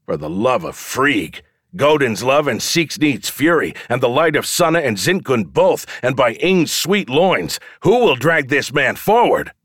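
A man voicing a brash, foul-mouthed male showman who loudly goads a crowd into wagers in an audiobook. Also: medium pitch, expressive, moderate pace, dry recording, clean studio sound, voice pushed up, close to an everyday voice. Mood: rousing, fervent. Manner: loud, goading, declamatory calling to a crowd. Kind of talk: audiobook character dialogue.

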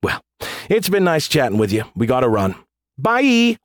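A man's voice: medium pitch, huge pitch swings, moderate pace, no room sound, clean studio sound, pitched above the performer's own voice. A man voicing an irreverent, sarcastic military commander in an audiobook, with a casual, teasing way of talking. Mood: mocking. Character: wisecracking, irreverent male military commander of space pirates. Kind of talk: audiobook character dialogue.

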